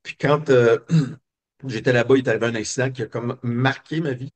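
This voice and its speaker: Un homme québécois, invité d'une entrevue, qui raconte son enfance d'un ton réfléchi, avec beaucoup d'hésitations. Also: male voice, mid-range voice, fairly even intonation, moderate pace. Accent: Québécois French. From Quebec. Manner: reflective, many hesitations and fillers. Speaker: guest. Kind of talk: personal storytelling interview.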